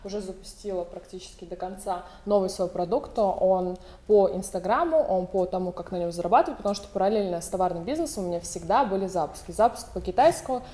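A woman guest on a talk show, chatting casually about herself, sometimes in rapid bursts. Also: medium pitch, mellow, echoey room. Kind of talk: casual self-introduction.

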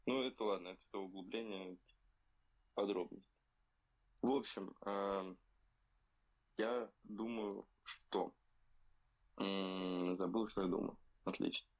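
A young man co-hosting a casual podcast from a remote setup, talking slowly and hesitantly with many fillers while slightly ill. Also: low voice, steady tone, narrow, tinny audio. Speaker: podcast co-host. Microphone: remote recording.

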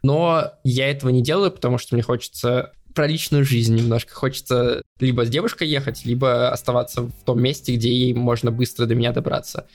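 A young man as a podcast guest, explaining his plans at length in a measured, casual way. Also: medium pitch, steady tone. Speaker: podcast platform editor-in-chief, guest.

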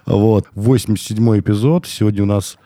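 A man hosts a casual podcast in a low, soft-edged, mellow voice. He is stuffed up with a cold and speaks colloquially, getting worked up as he goes. The sound is dry and close, with no room around it. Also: steady tone, a little fast, no room sound. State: congested with a cold. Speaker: podcast host. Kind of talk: casual conversational podcast talk.

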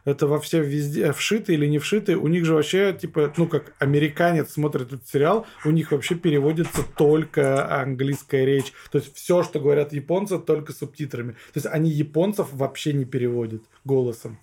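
A man's voice: fairly high pitch, fairly quick, hardly any pauses, a little mellow. A man chatting casually, laid-back and rambling, swearing as he goes. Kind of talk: casual chat. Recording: echoey room.

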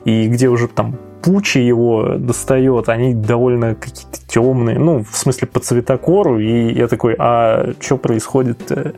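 Man, podcast co-host, mellow low timbre, wry, swears, casual chat, faint background music. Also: fairly even intonation, moderate pace, gravelly, few pauses. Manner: swearing, wry, full of fillers. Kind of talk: casual chat about anime.

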